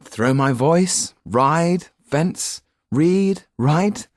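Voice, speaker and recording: Man voicing an earnest young storybook gentleman, close and dry. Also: on the high side, lively intonation, extremely slow, no echo, pitched above the performer's own voice. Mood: playful. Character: grateful, earnest young gentleman, grown-up adopted son. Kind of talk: audiobook character acting.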